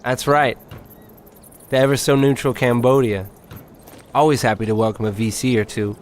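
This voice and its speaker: A man's voice: mid-range voice, fairly even intonation, normal speed, slight background noise, close to an everyday voice. A man with an American accent playing a sardonic, grumbling soldier in a war video game. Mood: sarcastic. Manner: sarcastic. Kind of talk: video game cutscene dialogue.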